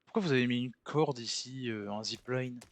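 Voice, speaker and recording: A man's voice: mid-range voice, moderate pace. A young man chatting casually and informally in slang while he plays a game with friends. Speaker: gamer playing with friends. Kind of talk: casual chat during co-op gameplay.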